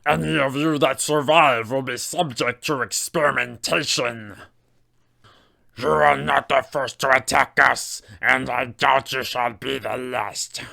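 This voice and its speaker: A man voicing a cold, haughty alien gnome mind flayer with slow, pompous, formal speech for a tabletop villain. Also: mid-range voice, fairly even intonation, vocal fry, pushed and bright, exaggerated voice. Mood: haughty menace.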